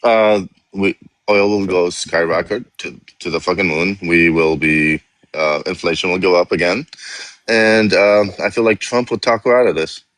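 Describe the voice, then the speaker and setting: A man from Iraq debating politics on a live stream, his voice sitting very low. He argues hard, keeps talking over the other side and breaks into short laughs as he makes his points. The sound is dry and close, with no room around it. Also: fairly even intonation, moderate pace, fairly bright tone, no room sound. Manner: argumentative, talks over the host, laughs mid-sentence.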